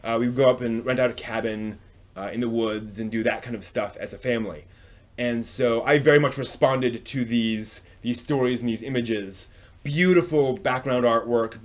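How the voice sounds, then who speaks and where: Male live stream host, unscripted reviewer, mid-pitched, conversational, thinks aloud and rephrases as he goes. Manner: halting and discursive, circles back to rephrase. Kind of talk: casual unscripted review commentary.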